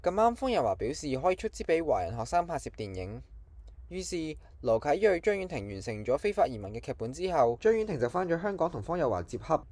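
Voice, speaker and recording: A man's voice: a little high, big pitch swings, brisk, a little mellow, no echo. A man with a Hong Kong Cantonese accent presents scripted film trivia as an online channel host.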